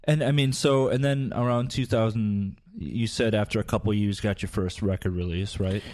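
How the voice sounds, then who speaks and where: A man's voice: on the low side, normal speed. A man hosting a podcast, talking in a loose, chatty way as he interviews a guest. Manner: relaxed, loose and chatty with fillers. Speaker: podcast host. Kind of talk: casual interview chat.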